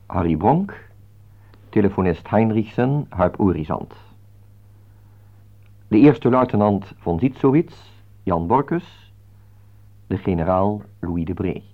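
A man working as a radio announcer, reading out scripted play credits. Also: fairly low pitch. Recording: thin, phone-like old radio recording.

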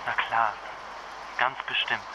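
Actor as worried husband, noisy background. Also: male voice, medium pitch, fairly even intonation, slow, natural voice. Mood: reassuring. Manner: earnest, soothing, sometimes stammering. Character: worried, protective husband, patient but anxious. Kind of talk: naturalistic radio drama acting in phone calls. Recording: noisy ambience with vehicle sounds.

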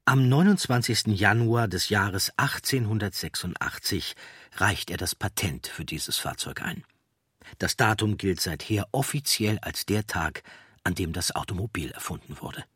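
A man with a husky voice delivering scripted documentary narration. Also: lowish voice, normal speed, a bit bright, no echo, clean studio sound.

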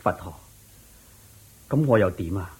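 A man's voice: low voice, animated, a little slow, darkish tone, husky, full character voice. An actor playing a humble, anxious man seeking counsel, deferential and plaintive, in a Cantonese radio drama with a Hong Kong accent. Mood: dejected.

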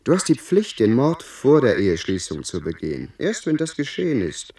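A man's voice: medium pitch, wildly swooping pitch, moderate pace, dark tone, husky, distant mic, full character voice. A man playing an insistent tempter urging duty in a German radio play, persuasive and theatrical, sounding set back from the microphone. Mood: urgent, commanding. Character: smooth-talking, insistent inner tempter urging a young lord to duty.

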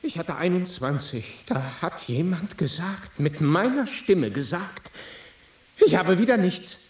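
An actor playing a bewildered card player jolted from a trance in a radio drama, breathy and disbelieving. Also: male voice, sits high, lively intonation, a little slow, roomy sound. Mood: startled, confused.

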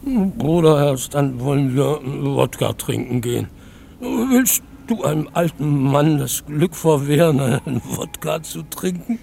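An actor playing an old drunken beggar who wheedles and needles a stranger in a radio play. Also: male voice, a little high, lively intonation, unhurried, gravelly, mellow, a little hiss or hum, heavily acted. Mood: wheedling. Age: older. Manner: wheedling, sly, insistent.

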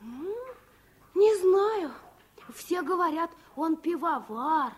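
A woman's voice: extremely high pitch, animated, slow, full character voice. A woman playing a curious, earnest small daughter with childlike questioning speech in a 1950s Soviet radio drama. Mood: innocent curiosity.

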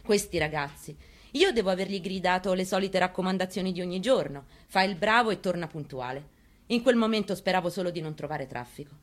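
A woman's voice: on the low side, brisk, natural voice. A woman performing a dramatic monologue reading as a haunted, plainspoken mother recounting her story. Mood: plain recollection. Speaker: actress in a staged reading.

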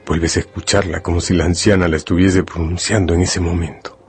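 An actor as a solemn male storyteller for a radio drama, with a very low voice. His delivery is measured, intimate and hypnotic, over soft music. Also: little pitch movement, lightly acted. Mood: suspenseful. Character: solemn, hypnotic male narrator addressing the listener as protagonist. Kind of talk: scripted literary radio narration. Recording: music behind the voice.

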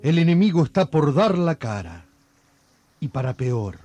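A man playing the wry, suggestive storyteller of a radio serial, with theatrical, measured scripted narration. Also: a little high, big pitch swings, warm tone, muffled recording, faint music behind. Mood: wry, dramatic.

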